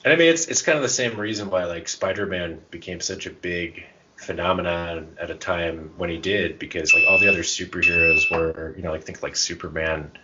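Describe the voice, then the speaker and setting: A man's voice: low voice, fairly quick, lo-fi sound, noisy background. A man co-hosting a podcast, chatting casually and thinking aloud in a rambling way. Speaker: podcast co-host. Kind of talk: casual chat about tabletop roleplaying and comics.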